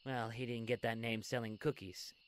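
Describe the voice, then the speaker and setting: A man's voice: mid-range voice, little pitch movement, moderate pace, smooth, natural voice. A man voicing an earnest, resolute young alien soldier in a video game, serious and emphatic. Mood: grave warning. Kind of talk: video game cutscene acting.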